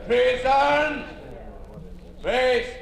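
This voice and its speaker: High-voiced pompous official, echoing hall. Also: male voice, steady tone, gravelly, heavily acted. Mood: cold, accusing. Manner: formal, deliberate, declamatory. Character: pompous, cold regime official presiding over a senate. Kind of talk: 1950s radio drama oratory. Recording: echoey hall, faintly noisy old radio recording.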